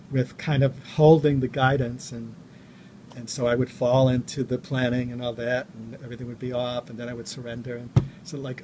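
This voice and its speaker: Soft-spoken man telling a personal story. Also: medium pitch, background noise. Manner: halting, with many restarts and trailing pauses. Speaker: vlogger.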